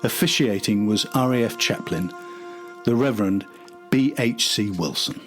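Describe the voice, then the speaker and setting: A man's voice: lowish voice, normal speed, music in the background. An older man narrating a documentary from a script.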